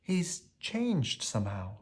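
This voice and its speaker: A man voicing a kind, spirited young woman in a storybook, earnest and gentle but firm. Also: mid-range voice, wildly swooping pitch, takes it slowly. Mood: gentle, wistful.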